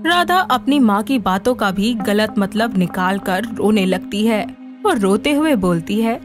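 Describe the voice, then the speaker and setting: A woman's voice: mid-range voice, expressive, unhurried, over music. A woman reading scripted story narration as the narrator.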